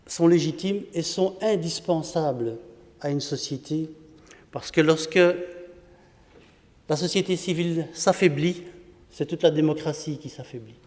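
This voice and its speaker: Man moderating a conference, measured and formal, fairly high voice, long hall reverb. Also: a little mellow. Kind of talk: formal introduction speech.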